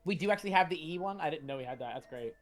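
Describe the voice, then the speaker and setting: Casting: deep-voiced female co-host, chatty and informal, soft music underneath. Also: lively intonation, rapid-fire. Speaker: co-host of comic read-along stream. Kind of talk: casual commentary.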